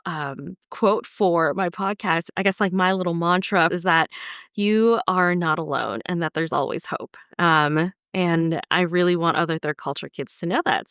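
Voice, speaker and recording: A woman's voice: on the low side, a bit bright, clear, resonant tone, dry recording, clean studio sound. A woman from the Philippines, raised in South Korea and Egypt, talking casually about her own life as a podcast guest. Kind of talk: casual interview storytelling.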